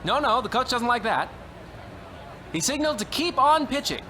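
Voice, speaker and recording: A man playing an excitable, melodramatic baseball radio announcer with a theatrical, exclamatory delivery in an old-time radio comedy. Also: extremely high pitch, normal speed, a little hiss or hum, full character voice. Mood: astonished. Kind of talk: old-time radio sports commentary parody.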